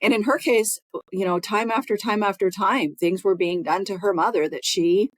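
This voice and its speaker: A woman's voice: mid-range voice, normal speed, dry recording. A woman co-hosting a podcast in casual conversation. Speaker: podcast co-host.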